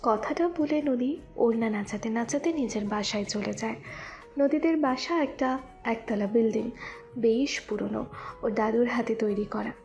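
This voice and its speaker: A woman with a fairly high voice narrates a scripted romantic story. Faint music plays beneath her reading. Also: unhurried, darkish tone.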